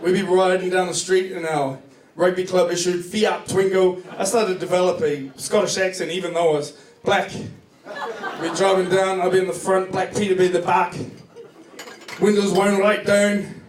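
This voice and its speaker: Echoey, high-pitched New Zealand male stand-up. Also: fairly even intonation, moderate pace. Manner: profane, joking storytelling. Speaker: stand-up comedian. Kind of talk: stand-up comedy set. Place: comedy club stage before a live audience. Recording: distant echoey club recording, noisy laughing crowd.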